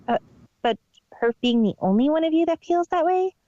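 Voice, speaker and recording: A woman plays a haughty female cartoon unicorn magician with a high voice and a hammy, theatrical delivery. She talks grandly of herself in the third person. The recording is dry and somewhat dull and lo-fi. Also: animated, moderate pace, warm tone, no echo. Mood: puzzled.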